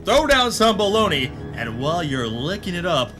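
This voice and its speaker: A man's voice: high-pitched, sing-song, sharp tone, full character voice. A man playing a pompous hard-boiled adventurer, narrating in the melodramatic style of a pulp radio serial. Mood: gruff, threatening. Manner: melodramatic, grave, deliberate hard-boiled delivery. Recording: reverberant voice over background music.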